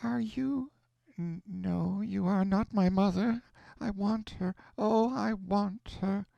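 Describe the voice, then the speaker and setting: A man's voice: high voice, slow, creaky, dark tone, no echo, highs cut off, voice pushed up, full character voice. A man voicing a feverish, delirious young girl who pleads for her mother in distress. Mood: delirious pleading.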